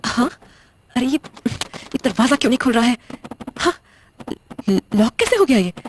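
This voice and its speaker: A woman playing a confused, uneasy young widow who talks haltingly in an audio horror drama. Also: fairly high pitch, lively intonation, a little hiss or hum, natural voice. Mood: suspicious, puzzled. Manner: hesitant, halting, muttering questions to herself.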